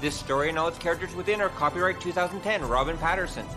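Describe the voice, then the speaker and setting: A man's voice: fairly high pitch, rapid-fire, music in the background. A man reading scripted credits as the announcer of an audio drama show. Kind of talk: scripted announcement over music.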